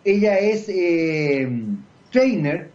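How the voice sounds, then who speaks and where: A Chilean man hosting a radio talk show, giving commentary and interviewing a guest. He pauses often with drawn-out fillers. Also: sits high, a little mellow. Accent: Chilean Spanish. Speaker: radio host. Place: radio studio. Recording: dull lo-fi radio broadcast.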